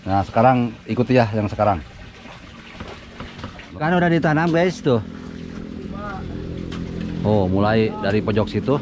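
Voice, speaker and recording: Excitable Sundanese-accented man at a fishing pond. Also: lowish voice, measured pace, long pauses, background noise. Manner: excitable, laughing, yelps when fish bite. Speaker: fishing vlogger. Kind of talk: excited live commentary.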